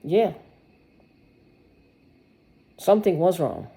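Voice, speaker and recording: A woman's voice: fairly low pitch, very animated, very slow, creaky, warm tone. A woman giving a rambling personal video monologue, agitated and sarcastic, swearing and restarting her sentences. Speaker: vlogger.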